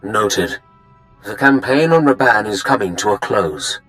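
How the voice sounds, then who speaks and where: A dark warlord in a game drama. He speaks formally and imperiously in a lowish voice, with faint music behind. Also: lively intonation, a little slow, rough recording. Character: commanding male dark lord directing his armies. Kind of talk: dramatic video game voice acting.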